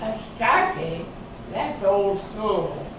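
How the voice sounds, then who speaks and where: A woman's voice: medium pitch, very animated, very slow. Woman acting a defensive suspect, very echoey hall. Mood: wary. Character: defensive, flustered woman suspect, night-shift respiratory therapist. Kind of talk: amateur readers theater reading. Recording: very echoey hall, distant mic, noisy.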